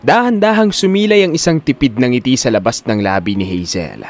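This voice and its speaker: A man's voice: mid-range voice, lively intonation, fairly quick. A man narrating a scripted audio drama in a dramatic storytelling style.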